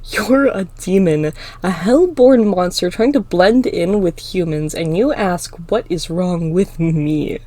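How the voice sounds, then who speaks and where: A woman's voice: lowish voice, slow pace, few pauses, dark tone. A woman voicing a cruel, hateful male student in a fantasy story, taunting and sneering. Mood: mocking, contemptuous. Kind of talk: dramatic fantasy audiobook acting.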